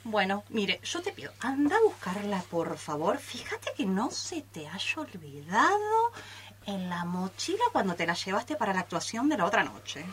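A woman's voice: mid-range voice, very animated, normal speed, slight background noise, close to an everyday voice. A woman with an Argentine accent playing a theatrical, effusive salon hairdresser in an improvised radio play, full of pet names. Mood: bossy, coaxing. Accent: Argentine Spanish. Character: gushing, theatrical, reproachful female hairdresser running her salon.

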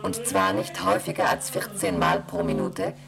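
A woman voicing a cold, rule-bound station computer in a radio play, reciting rules and figures in a flat, mechanical way. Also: rumbling low, moderate pace, vocal fry, crowd noise, full character voice.

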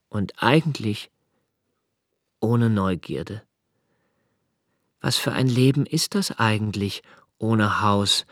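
An actor playing a brooding, anxious man of twenty-eight in a radio play, narrating his notebooks in a measured, reflective way with deliberate pauses. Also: on the low side, no echo, clean studio sound. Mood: weary, melancholic. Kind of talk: literary radio play monologue, first-person narration.

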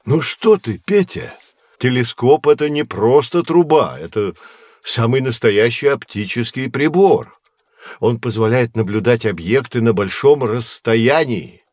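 An actor playing a kindly, patient professor who explains science to children in an educational audio play. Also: male voice, medium pitch, animated, unhurried, darkish tone, no echo, studio quality. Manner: didactic, carefully phrased, encouraging.

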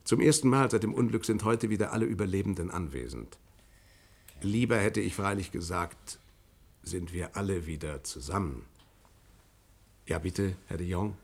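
An actor playing a formal, fair-minded court president in a radio play, with a husky, creaky voice and a measured, official manner. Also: male voice, deep voice. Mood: solemn, official. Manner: measured, official, hesitant when pressed. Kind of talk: German radio play acting.

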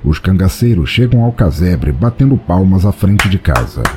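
A man's voice: sits low, sing-song, moderate pace, noisy background. A Brazilian man hosting and narrating a podcast from a script, with a wry, theatrical manner.

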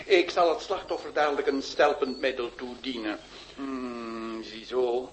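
A man's voice: medium pitch, measured pace, a little hiss or hum, natural voice, poor audio. A man playing a fussy, moralizing, long-winded period doctor in a comic radio play. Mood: fussy, hesitant. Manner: pompous, hesitant, tutting, rambling.

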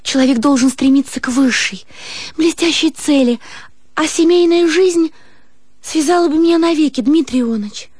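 An actress playing an emotional, ambitious young woman in a radio drama, with theatrical, halting delivery. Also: high-pitched, darkish tone, no room sound, clean studio sound, lightly acted. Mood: agitated, earnest. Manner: emotional, halting, breaking off and repeating words. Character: young woman, artistic, ambitious, playful, refusing a suitor.

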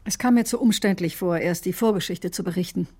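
A woman's voice: lowish voice, a little fast, darkish tone, slightly rough. An actress playing an earnest, defensive doctor who tells her own story in a radio drama. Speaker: doctor, first-person narrator. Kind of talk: radio drama narration and dialogue.

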